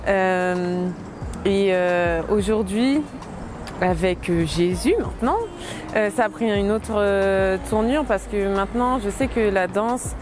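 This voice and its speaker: Woman in her late twenties, hesitant, lots of fillers, mid pitch, music underneath. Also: slow. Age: late 20s. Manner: hesitant, many fillers, soft laughs. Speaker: dancer interviewee. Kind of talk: casual interview testimony.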